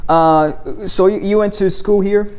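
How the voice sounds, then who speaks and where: Male host, fairly high-pitched, encouraging, stumbles and repeats words at sentence starts. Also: steady tone, unhurried, darkish tone, clean tone. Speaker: interview host, business owner. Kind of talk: casual interview chat.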